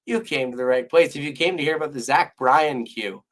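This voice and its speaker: A hoarse young man co-hosting a casual sports podcast, losing his voice and restarting his sentences as he rambles on. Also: mid-range voice, a little fast, fairly bright tone. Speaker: podcast co-host.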